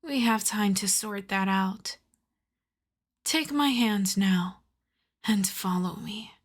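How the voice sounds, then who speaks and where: A woman's voice: mid-range voice, takes it slowly. A woman playing a seductive, possessive vampire countess in an intimate ASMR roleplay, teasing and commanding, often half-whispering. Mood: commanding, amused. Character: seductive, possessive vampire countess, once human, playfully menacing.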